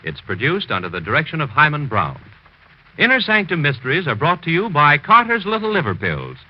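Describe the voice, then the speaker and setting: Animated, formal 1940s male radio announcer. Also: fairly high pitch, fairly quick. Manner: declamatory, formal. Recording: muffled 1940s radio recording. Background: loud music.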